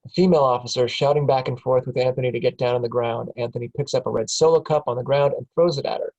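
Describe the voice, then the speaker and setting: A man reads screenplay action lines as narrator. His voice is mid-pitched and plain, with a matter-of-fact scripted delivery. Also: steady tone, fast, darkish tone, muffled recording. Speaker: narrator reading stage directions. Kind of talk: scripted narration at a table read.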